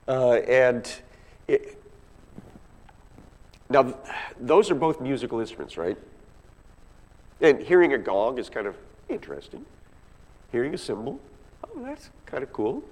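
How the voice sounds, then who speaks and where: A man teaching from the Bible in an emphatic, conversational way, often putting rhetorical questions to his listeners. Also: mid-range voice. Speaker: Bible class teacher or preacher. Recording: echoey hall with long reverb.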